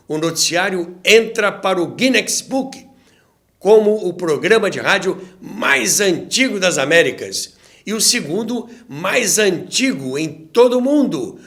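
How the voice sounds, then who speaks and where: A Brazilian man hosts a history documentary in a higher-than-average voice. His delivery is slow and very lively in pitch, addressing the listener directly like a storyteller. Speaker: host and narrator of a radio history show.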